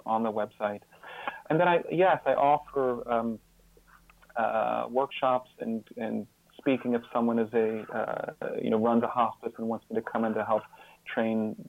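A man speaks as a guest in an interview, earnest and conversational. He often hesitates and restarts while looking for the right word. His middle-pitched voice is recorded dry, with a dull, lo-fi sound. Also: no room sound. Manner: halting, hesitant, searching for words with repeated false starts. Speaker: podcast guest, author and caregiving mentor.

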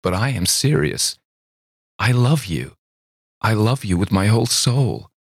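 A man's voice: lowish voice, big pitch swings, a little slow, breathy edge, dry recording, studio quality, pitched above the performer's own voice, full character voice. A man playing an imploring young woman. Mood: earnest. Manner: imploring, earnest, naive.

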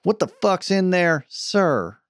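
A man's voice: high voice, sing-song, slow, smooth, dry recording, studio quality, voice pushed up, heavily acted. A man voicing a brash, foul-mouthed frontier woman who bosses a wagon train with a bossy drawl in a Western story. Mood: brisk, blunt. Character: brash, foul-mouthed cowgirl wagon boss, hot-tempered but soft-hearted.

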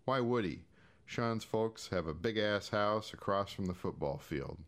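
A low-voiced American man narrates a short story. He reads from a script in an audiobook style, as a storyteller delivering fiction. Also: expressive, unhurried.

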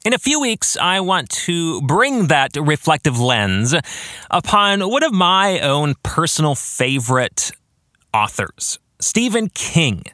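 A man delivers a podcast monologue in a bright, fairly high voice. His intonation swings widely as he stresses phrases with enthusiasm and deliberate care. The sound is dry, with little room around it. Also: very animated, no room sound. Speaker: podcast host and novelist. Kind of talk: scripted-sounding podcast monologue with casual asides.

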